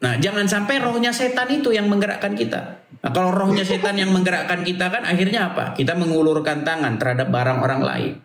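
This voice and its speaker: High-pitched man, echoey sound. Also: fast talker, fairly bright tone. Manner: insistent, checks agreement with rhetorical questions. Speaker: debater leading a religious discussion. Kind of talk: informal religious debate.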